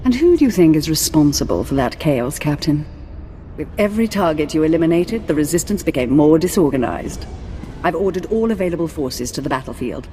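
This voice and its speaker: An actress playing a commanding, seductive Sith lord in a video game, speaking in a measured, imperious way. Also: female voice, low-pitched, animated, dark tone, slight background noise. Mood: imperious, satisfied. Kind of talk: dramatic video game acting. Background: background music.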